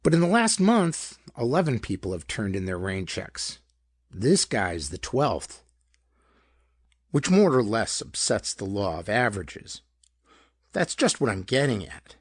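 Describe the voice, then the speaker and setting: A man's voice: fairly low pitch, big pitch swings, measured pace, slightly rough, no room sound, studio quality, full character voice. A man voicing a plain-spoken, worried small-town lawman in a pulp detective story, with slangy, colloquial talk. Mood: puzzled, insistent. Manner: colloquial, slangy, hesitant explaining.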